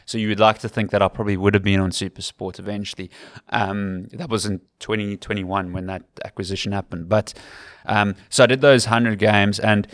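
A man's voice: on the low side, fairly even intonation, brisk, fairly bright tone, dry recording, clean studio sound. A man in his thirties with a Cape Town South African accent, telling his story earnestly as an interview guest, repeating words for emphasis. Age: 30s. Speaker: rugby commentator, podcast guest.

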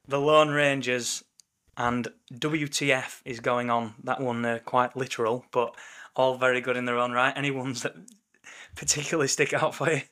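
A man with a croaky voice co-hosting a casual football podcast over a remote call, chatty and wry. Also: mid-range voice, fairly bright tone. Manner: chatty, wry, leads the talk. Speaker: podcast co-host. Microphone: remote call recording.

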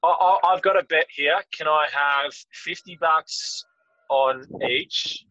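A man's voice: on the high side, takes it slowly, pushed and bright, no echo. A man co-hosting a casual podcast, dry and teasing, with a mild grumble. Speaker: podcast co-host. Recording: dry, dull lo-fi sound.

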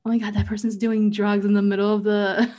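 Female podcast co-host, casual and chatty, mid-pitched, leans on fillers. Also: steady tone, moderate pace, a little mellow. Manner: chatty, fills with "like" and "um", reads out facts. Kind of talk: casual chat.

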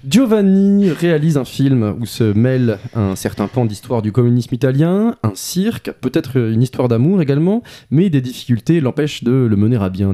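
A man's voice: mid-range voice, mellow. A man hosting a film discussion podcast who hesitates in debate and reads his scripted review fluently. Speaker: podcast host.

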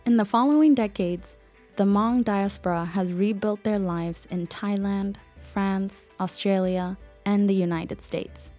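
A woman hosts a podcast, reading prepared narration at a middle pitch. She is from Ethiopia, and music plays beneath her voice. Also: measured pace, mellow. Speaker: podcast host. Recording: music under the voice. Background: background music.

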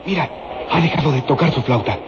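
A man's voice: fairly high pitch, fairly quick, lightly acted. An actor playing a sly, greedy thief's henchman in an old radio serial, conspiratorial and theatrical. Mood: scheming. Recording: noisy, dull old radio recording.

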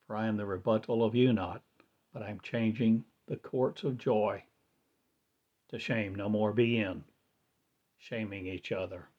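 Slow, low-voiced man chanting a monologue. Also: fairly even intonation, a little mellow. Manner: chanting, trance-like, grandiose, repetitive phrasing. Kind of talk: slow incantatory spoken-word monologue. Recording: dull lo-fi recording.

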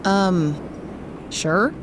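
An actress playing a shy, friendly woman in an audio drama, answering an old friend in a halting, natural way. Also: mid-range voice, expressive, a little hiss or hum. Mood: shy, flattered. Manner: hesitant, halting.

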